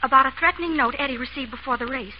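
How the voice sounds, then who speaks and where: An actress playing an anxious, earnest society wife who pleads formally, in a 1930s radio melodrama. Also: female voice, sits high, very fast, lightly acted. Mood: anxious, pleading. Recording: muffled, hissy 1930s radio recording.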